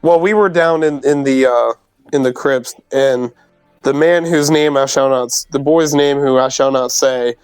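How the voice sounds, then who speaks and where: A man playing a tabletop roleplaying game, improvising casually and informally with halting phrases. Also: medium pitch, fairly even intonation, clean tone, dry recording, faint music behind, rough recording. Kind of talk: casual in-character improvised play.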